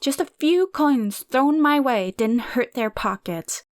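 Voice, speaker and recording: A woman narrator doing a bitter aunt in her fifties who barks and swears at everyone. The voice sits higher and louder than plain narration, with a scornful, combative edge. The recording is dry and close. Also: animated, normal speed, no room sound, natural voice. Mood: angry scornful. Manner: barking, cursing, scornful.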